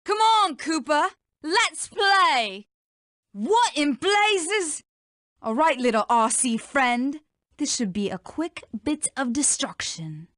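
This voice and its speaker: A woman's voice: sits high, very animated, takes it slowly, fairly bright tone, dry recording, clean studio sound, heavily acted. A woman voicing a cocky, flirtatious animal villain in a cartoon video game, teasing and mocking. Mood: mocking, menacing.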